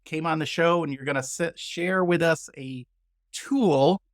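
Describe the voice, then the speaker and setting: Creaky-voiced male podcast host. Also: a little high, unhurried, no echo, clean studio sound. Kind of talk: conversational show hosting.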